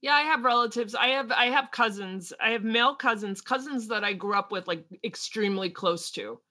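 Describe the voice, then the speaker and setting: A woman co-hosting a podcast, chatting casually about politics in a lively way, with quick asides and frequent laughs. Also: a little high. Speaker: podcast co-host.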